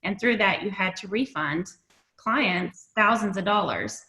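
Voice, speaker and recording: A woman's voice: mid-range voice, lively intonation, unhurried, sharp tone. A woman co-hosting a show over a video call, interviewing in a conversational way. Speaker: show co-host. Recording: roomy, echoing sound.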